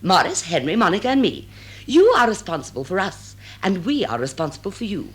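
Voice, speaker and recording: An actress playing a cool, worldly English wife who scolds with dry irony and measured affection in a radio comedy. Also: female voice, lowish voice, sing-song. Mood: dry reproof. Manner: dry, ironic, measured lecturing. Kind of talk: stage drawing-room comedy in radio drama.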